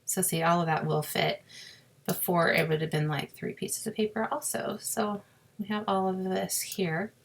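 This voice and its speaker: A woman with a sore, scratchy throat, explaining a crafting software task step by step in a chatty way and muttering to herself as she clicks. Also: lowish voice, little pitch movement, normal speed. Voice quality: raspy with creaky fry. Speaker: crafting tutorial host. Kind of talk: screen-recorded software walkthrough.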